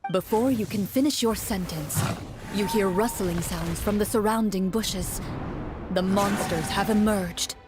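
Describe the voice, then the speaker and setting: A woman's voice: mid-range voice, measured pace, music in the background. A woman streamer reading a video game's story narration aloud.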